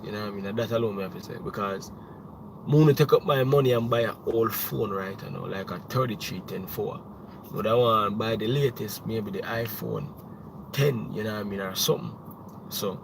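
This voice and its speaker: A man's voice: lowish voice, noisy background. A young Jamaican man, a music producer, talking casually on a livestream, rambling with many restarts and "you know what I mean.".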